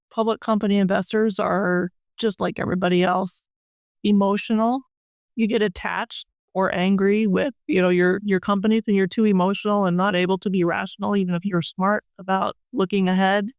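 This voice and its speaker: Older lady expert being interviewed, smooth clean voice, pretty even tone, explains stuff plainly. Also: medium pitch, no echo. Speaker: industry expert guest and board member. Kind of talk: conversational interview answers.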